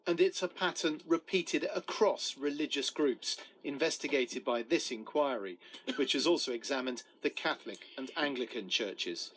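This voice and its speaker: A man's voice: medium pitch, moderate pace, a bit bright, poor audio. A man narrating a scripted television news report as a correspondent.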